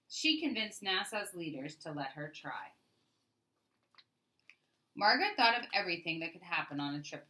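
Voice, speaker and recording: A woman reading a children's picture book aloud as a storyteller, with expressive, emphatic delivery and deliberate phrasing. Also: sits low, rough recording.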